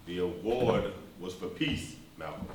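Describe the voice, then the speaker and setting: An actor playing a solemn, patient preacher and movement leader on stage, with measured, earnest, dignified phrasing. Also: male voice, low-pitched, expressive. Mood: restrained. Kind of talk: theatrical stage acting, measured oratory. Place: stage performance, recorded from far off. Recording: echoey distant stage recording, noisy.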